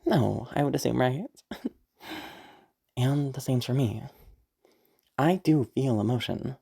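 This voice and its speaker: Low-voiced woman, a bit under the weather. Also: slow, gravelly, warm tone, slightly rough. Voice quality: low, deep speaking voice. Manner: chatty, rambling, with frequent short laughs. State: a little sick, throat giving out. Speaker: audio roleplay creator. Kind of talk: casual spoken afterword, explaining.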